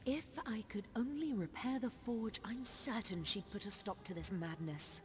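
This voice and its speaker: An actress playing a solemn, foreboding sorceress in a fantasy video game, speaking in grave, formal, measured phrases. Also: female voice, medium pitch, expressive, slight background noise, lightly acted. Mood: sorrowful, fearful. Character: solemn, foreboding sorceress grieving over her wayward sister. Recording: long reverberant hall.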